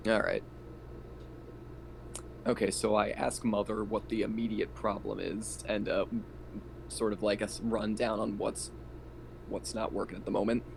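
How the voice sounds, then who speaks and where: A man playing a tabletop game, talking casually and haltingly as he thinks aloud. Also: fairly low pitch, flat delivery, creaky, slight background noise. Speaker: player in a tabletop roleplaying game.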